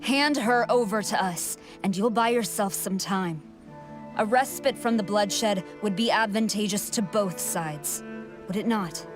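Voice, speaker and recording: A woman playing a cold, haughty general in a video game, speaking in a measured, imperious, formal way. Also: mid-range voice, over music, lightly acted. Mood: cool, bargaining. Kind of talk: scripted video game dialogue.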